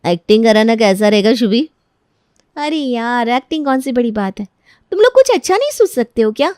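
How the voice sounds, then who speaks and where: Woman doing a cocky college mean girl, high voice, teasing and bossy, story acting, super dry. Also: big pitch swings, brisk, dark tone, clean tone, no room sound. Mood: mocking, bossy. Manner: taunting, bossy. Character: cocky young college senior woman, mocking bully. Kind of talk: Hindi moral story character acting.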